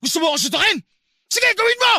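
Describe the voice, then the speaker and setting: A man's voice: very high voice, animated, racing, sharp tone, heavily acted. A man playing an anguished, bitter young son in an emotional, intense Filipino radio drama. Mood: defiant, furious. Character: anguished, bitter young adult son confronting his father. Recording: music bed under the voice.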